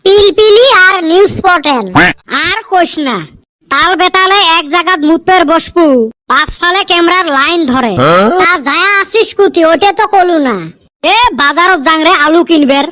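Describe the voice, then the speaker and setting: A woman's voice: very high-pitched, moderate pace, pushed and bright, no echo. A woman voicing a lazy, wisecracking village schoolboy in a comedy cartoon, with a western Assam accent. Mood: grumbling. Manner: wisecracking, grumbling.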